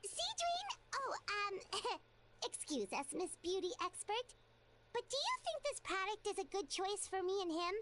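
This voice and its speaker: A woman voicing a small, excitable childlike fairy companion in an English video game dub, chatty and exclaiming, with a squeaky voice. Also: normal speed, noisy background. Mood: curious. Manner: speaks of self in third person, exclaiming.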